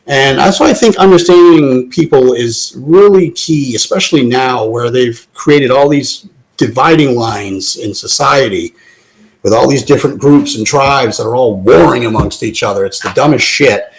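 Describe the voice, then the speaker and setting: A man taking part in a live audio talk show, speaking in a measured, reflective way as he explains his view. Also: medium pitch, vocal fry, low-quality recording. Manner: measured, thoughtful, gently explaining.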